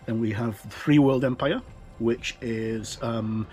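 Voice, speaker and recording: A middle-aged man hosting a casual look-through for a gaming channel, talking in a halting way with pauses mid-sentence. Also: medium pitch, fairly even intonation, darkish tone, faint music behind. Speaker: host of a tabletop gaming channel. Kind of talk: casual presenter talk, book look-through. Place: indoors, tabletop overhead shot.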